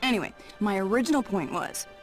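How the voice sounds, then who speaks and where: Actress as flirty, lively female cat burglar. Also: mid-range voice, quick pace, music in the background, close to an everyday voice. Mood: teasing, flirty. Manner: coy, playful taunting. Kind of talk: video game cutscene acting.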